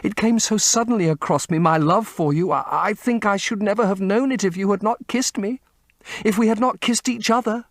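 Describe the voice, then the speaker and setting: A man's voice: high-pitched, moderate pace, dry recording, clean studio sound, pitched above the performer's own voice. A man voicing a desperate young actress who pleads tearfully with her lover not to leave her. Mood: tearful pleading. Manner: tearful, breathless begging.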